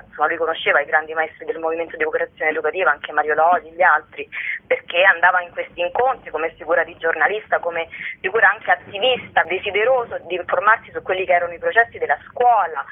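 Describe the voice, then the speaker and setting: A woman being interviewed as a project's creator, explaining earnestly in long, wordy sentences. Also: on the low side. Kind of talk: spoken radio interview. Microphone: telephone. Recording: narrow, tinny phone line.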